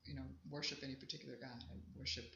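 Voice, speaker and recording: A woman's voice: very deep voice, level tone, racing, creaky. A woman in her sixties, a singer-songwriter, talking in a chatty, reflective way in an interview at a festival. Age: 60s. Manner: chatty, warm and reflective, rambling. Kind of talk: casual interview at an outdoor festival. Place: festival grounds. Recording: echoey, dull live field recording.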